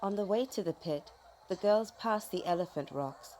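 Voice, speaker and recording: A woman narrating a children's folk tale from a script in a dramatic, expressive storytelling manner. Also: mid-range voice, moderate pace.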